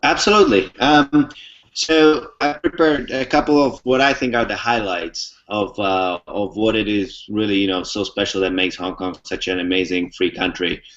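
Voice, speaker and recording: Young man, low voice, muffled audio. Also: fairly even intonation, moderate pace, a bit bright. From Czech Republic. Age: young adult. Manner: fluent, explains step by step with many ums. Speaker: interview guest. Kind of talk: casual explanatory talk. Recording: muffled lo-fi recording.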